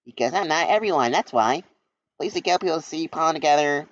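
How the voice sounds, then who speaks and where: A man's voice: on the high side, animated, fairly quick, voice pushed up, lightly acted. Man doing a chirpy little bee boy. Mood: cheeky. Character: hyper young male bee, chirpy. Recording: dull lo-fi recording.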